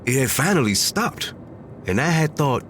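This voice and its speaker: An actor playing a vain but anxious action movie star in an audio drama, theatrical and self-regarding. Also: male voice, medium pitch, sing-song, moderate pace, a bit bright, slight background noise. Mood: haunted, anxious.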